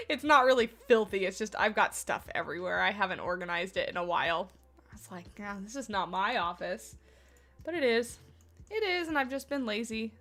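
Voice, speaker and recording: A woman's voice: mid-range voice, expressive, normal speed, a bit bright. A woman livestreaming a game from her home office, chatting casually and rambling a little, slightly stuffed up from a cold. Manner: chatty, rambling, self-deprecating. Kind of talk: casual chat with live chat.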